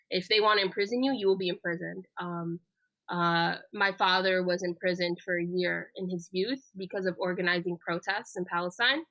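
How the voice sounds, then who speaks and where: A woman's voice: fairly low pitch, pushed and bright, no echo, low-quality recording. A woman in her twenties, raised in the United States, speaking earnestly as an interview guest, with frequent ums and uhs. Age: 20s. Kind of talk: casual spoken interview.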